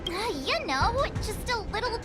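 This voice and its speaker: A young woman voicing a playful, eager detective heroine in a game dub, bouncy and animated. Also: extremely high pitch, measured pace, exaggerated voice. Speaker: player character. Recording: game dub over music.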